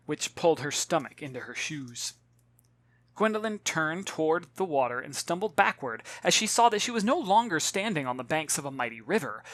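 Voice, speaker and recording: A narrator reading a children's novel as expressive audiobook storytelling, dramatic and clearly articulated, with wry asides. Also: mid-range voice, no echo. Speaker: author reading own novel.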